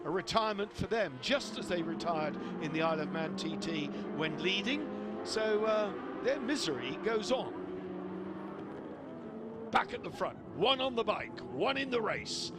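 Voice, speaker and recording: High-voiced man commentating a race. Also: unhurried. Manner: excitable, rises sharply at on-track incidents. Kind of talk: live motorsport commentary. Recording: loud engine noise behind. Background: racing engines.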